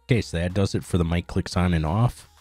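Male tutorial narrator, very low and mellow, plain instructional delivery. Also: a little fast, no room sound, soft background music. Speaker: tutorial host. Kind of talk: step-by-step software tutorial narration.

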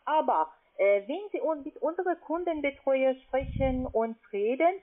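High-voiced foreign-accented woman on the phone. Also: animated. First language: not German. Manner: halting, non-native phrasing. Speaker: call-centre sales agent. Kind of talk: phone sales pitch. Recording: thin, band-limited phone call.

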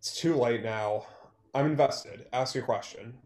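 Bitter, guarded male songwriter played by a man for a stage-play reading. Mid-pitched, terse, sarcastic, in a roomy echo. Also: measured pace, a little mellow, lightly acted. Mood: resigned. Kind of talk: online one-act play table read. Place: small room at home, video call. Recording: roomy, echoey video-call sound.

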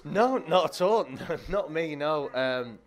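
A man's voice: on the high side, expressive, slow. A man, an actor, answering interview questions eagerly, heaping on praise and laughing easily.

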